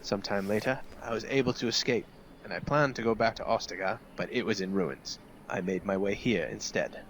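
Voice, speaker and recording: An actor playing an earnest, courteous and loyal knight with formal speech in a fantasy video game. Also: male voice, lowish voice, fairly quick, slight background noise, lightly acted, poor audio. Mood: grave.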